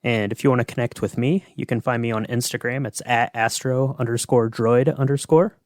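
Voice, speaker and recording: A man's voice: mid-range voice, steady tone, a little fast, a little mellow, no room sound. Male podcast host, average register, conversational delivery, very dry room sound. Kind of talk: casual chat.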